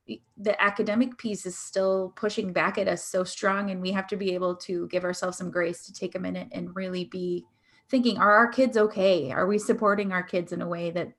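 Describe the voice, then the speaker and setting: A woman co-hosting an educational podcast, talking in an earnest, conversational way and often checking in with "right?". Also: medium pitch. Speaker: podcast co-host. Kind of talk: casual podcast chat.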